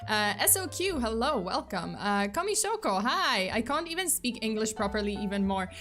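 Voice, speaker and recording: A young woman with a fairly high voice chats with her live-stream viewers in a loose, giggly way. She speaks with plenty of fillers and false starts. Soft music plays quietly behind her. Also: sing-song, normal speed. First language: Polish. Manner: chatty, giggly, many fillers and restarts. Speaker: VTuber streamer.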